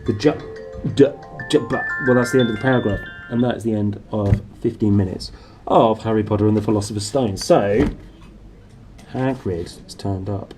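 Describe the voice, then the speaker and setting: A man's voice: on the low side, normal speed, music in the background. A man reading a story aloud in a theatrical, playful and dramatic way. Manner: theatrical, playful, self-correcting. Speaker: storybook reader. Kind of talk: dramatic, expressive storybook narration.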